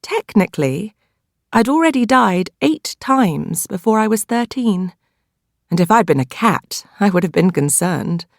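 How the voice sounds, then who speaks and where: British woman reading an audiobook, expressive swings in pitch, bit of creak in the voice. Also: measured pace, no room sound, studio quality. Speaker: audiobook narrator. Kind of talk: scripted first-person novel narration.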